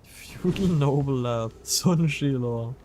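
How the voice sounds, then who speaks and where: A man's voice: medium pitch, slow, a little mellow, slight background noise. A man chatting casually while playing a game on a livestream. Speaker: livestream gamer. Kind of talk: casual game stream chat.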